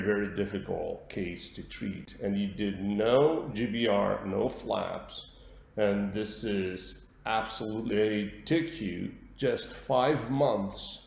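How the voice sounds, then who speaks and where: A man with a Portuguese accent presenting an instructional webinar as a dentist, repeating words for emphasis in halting phrases. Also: lowish voice, vocal fry. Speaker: dentist giving a webinar lecture. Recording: roomy echo with light background noise.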